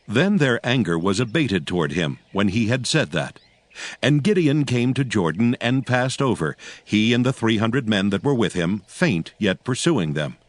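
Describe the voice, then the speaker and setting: A man's voice: lowish voice, very animated, moderate pace, no room sound. A man narrating a dramatized scripture story in a solemn, archaic storytelling style. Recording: music behind the voice. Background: clear background music.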